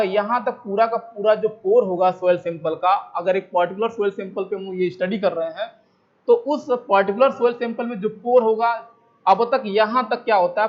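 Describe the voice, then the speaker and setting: An Indian man lecturing as a teacher, explaining step by step, repeating points and asking questions that he answers himself. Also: high-pitched. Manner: repetitive, asks and answers own questions. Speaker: civil engineering lecturer. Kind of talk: explanatory lecture mixing Hindi with English technical terms. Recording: dull, lo-fi microphone sound.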